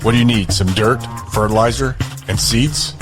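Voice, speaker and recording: An actor playing a curt, businesslike boss of a refugee camp in an audio drama. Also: male voice, medium pitch, normal speed, over music, natural voice. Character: ruthless male camp boss, curt but indulgent.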